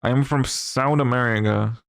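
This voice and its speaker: A man's voice: medium pitch, unhurried, creaky, no room sound, clean studio sound. A man from Chile with a Chilean Spanish accent, a native Spanish speaker chatting casually on a livestream, musing aloud while he works and answers chat. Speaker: streamer.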